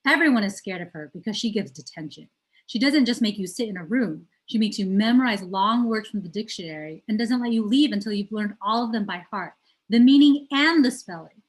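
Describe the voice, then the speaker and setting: A woman's voice: mid-range voice, rough recording. A woman reads a children's novel aloud as a storyteller, putting emphasis on key words. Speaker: teacher reading aloud.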